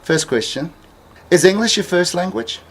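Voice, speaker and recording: A man's voice: high voice, expressive, moderate pace, vocal fry, a bit bright. Man interviewing, stumbling restarts. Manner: friendly questions with many restarts. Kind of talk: casual interview. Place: interviewee's home.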